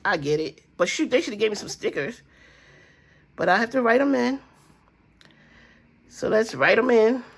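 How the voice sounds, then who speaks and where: Husky, gravelly-voiced woman vlogger. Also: fairly high pitch, animated, normal speed, pauses often. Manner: chatty, rambling, fillers and asides; addresses viewers as guys and y'all. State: stuffy nose. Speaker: budgeting vlogger. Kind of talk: casual chat to camera.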